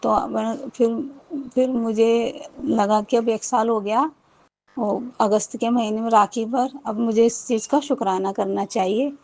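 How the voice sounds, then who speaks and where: A woman tells a personal devotional story in a fairly high voice. She rambles, restarts her sentences often and repeats her thanks again and again. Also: steady tone, measured pace, darkish tone, dry recording, poor audio. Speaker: devotee sharing a testimony. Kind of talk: personal testimony.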